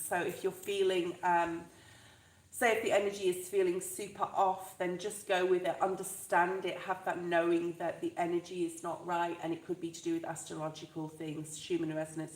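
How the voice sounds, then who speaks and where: A woman's voice: on the low side, echoey room, poor audio. Stuffy-nosed woman reading tarot live. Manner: chatty, reassuring, addresses viewers directly. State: stuffed up, fluey. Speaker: tarot reader.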